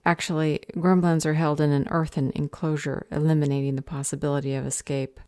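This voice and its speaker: A woman's voice: low voice, flat delivery, brisk, a little mellow, no echo, clean studio sound, close to an everyday voice. A woman playing a stern, curt magical council judge in a fantasy audio roleplay, formal, officious and commanding.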